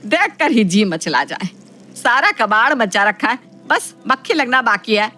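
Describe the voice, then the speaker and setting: A woman's voice: fairly high pitch, very animated, a little slow, bright, forceful tone, heavily acted. A woman playing a fussy, middle-aged mother-in-law in an audio story, obsessed with cleaning and prone to nagging. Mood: scolding, irritated. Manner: scolding at family, falsely polite to guests. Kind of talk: Hindi audio story acting.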